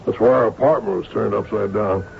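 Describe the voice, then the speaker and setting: An actor playing a brisk, probing police inspector with a gravelly voice in an old-time radio drama. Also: male voice, on the low side, slight background noise. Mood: keen. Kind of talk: old-time radio drama acting with first-person narration. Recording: dull old radio recording.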